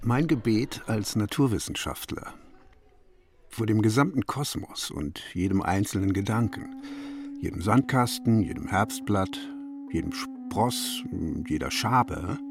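An actor playing a wry, condescending, smugly rational physics teacher in a theatrical drama, with pointed delivery. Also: male voice, lowish voice, lively intonation, a little slow. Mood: reflective, intense. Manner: sardonic, pointed. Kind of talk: theatrical monologue and dialogue in a drama.

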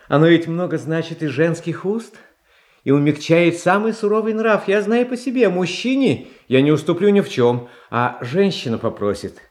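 Kind-hearted, pleading tsar in radio drama. Lightish high voice, very animated intonation, halting and anxious. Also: male voice. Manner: halting, earnest, repeats himself. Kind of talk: Russian stage tragedy as radio play.

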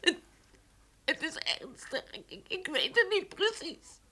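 A woman's voice: high-pitched, huge pitch swings, pushed and bright, exaggerated voice. A woman playing a distraught mother in a radio play, crying and stammering as she speaks. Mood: grief-stricken. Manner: halting, stammering. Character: distraught mother of a grown farmer. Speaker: actor in a radio drama. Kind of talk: Dutch radio play acting.

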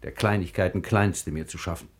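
An actor playing a proud, impatient sultan in classical radio drama, commanding and declamatory. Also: male voice, low voice, steady tone, a little fast, husky. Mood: impatient, scornful.

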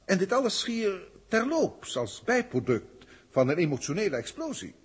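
Haughty, husky alien scholar. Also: male voice, high voice, big pitch swings, normal speed, full character voice. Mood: formal, reporting. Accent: Netherlands Dutch. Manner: formal, pedantic, theatrical delivery. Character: haughty, learned alien senior scientist filing reports. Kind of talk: 1960s Dutch radio drama acting.

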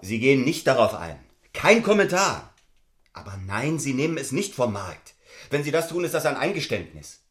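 A man's voice: medium pitch, sing-song, moderate pace, fairly bright tone, reverberant. A man playing a brusque, cynical middle-aged lawyer in a stage play, curt, commanding and condescending. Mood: commanding, irritated. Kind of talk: theatrical stage-play dialogue.

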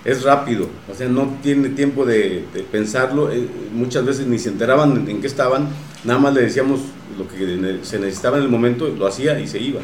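A man with a Mexican accent talking casually as an interview guest about his radio work, often halting to search for words. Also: mid-range voice. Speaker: radio drama producer and guest. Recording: roomy echo with faint noise.

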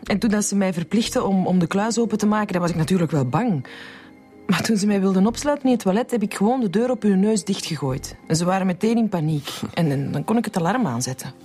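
An actress playing a plucky, compassionate Flemish insurance agent in a naturalistic radio drama. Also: female voice, mid-range voice, fast talker, mellow, soft background music, close to an everyday voice. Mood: matter-of-fact. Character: plucky, compassionate female insurance agent, a past robbery victim.

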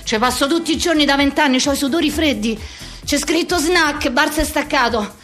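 A woman's voice: sits high, normal speed, a bit bright, faint music behind. A woman performing a theatrical slang monologue as a harried, foul-mouthed office worker around fifty, with a Roman accent and a coarse, rhythmic rant. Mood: frantic, exasperated.

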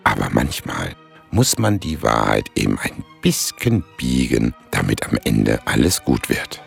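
An actor narrating a radio play as a folksy, sly storyteller, gravelly and husky, chatty and colloquial. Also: male voice, rumbling low, big pitch swings, measured pace, faint music behind, full character voice. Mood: wry.

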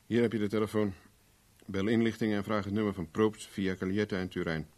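Male actor for a hard-nosed detective in vintage radio drama: lowish voice, commanding, coolly sardonic. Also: steady tone, fairly quick, a little mellow, close to an everyday voice. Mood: stern questioning. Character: tough, relentless male private investigator, interrogating coolly. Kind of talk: 1970s Dutch radio drama acting.

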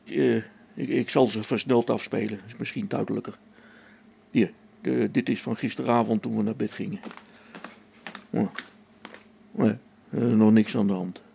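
A man's voice: lowish voice, steady tone, normal speed, vocal fry, pauses often, warm tone, slight background noise. An actor playing a harried space station engineer in a radio play, thinking aloud as he reasons things out. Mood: defensive, searching.

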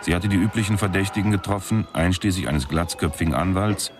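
A man's voice: deep voice, brisk, close to an everyday voice. A man playing a wry, cigar-smoking private detective who narrates a radio crime drama with sardonic quips. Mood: dry. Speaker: first-person narrator and detective. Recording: studio radio play with music bed.

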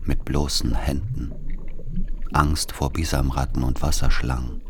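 A man narrating a literary radio play in the first person as someone recalling a rural childhood, measured and reflective. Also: extremely low pitch, steady tone, background noise.

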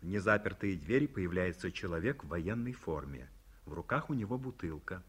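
A man's voice: deep voice, expressive, a little fast, a bit bright, breathy edge. A man reading stage directions plainly as narrator of a 1950s radio play.

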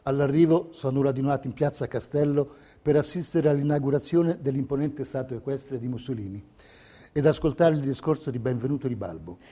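A man delivering scripted theatrical narration in measured, deliberate phrases. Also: mid-range voice, warm tone. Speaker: stage narrator.

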